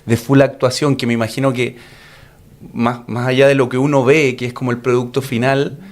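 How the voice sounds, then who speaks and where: A man with a Chilean accent hosts a casual interview. He asks long, rambling questions full of fillers and offers quick, friendly affirmations. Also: medium pitch, flat delivery. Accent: Chilean Spanish. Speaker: interviewer host.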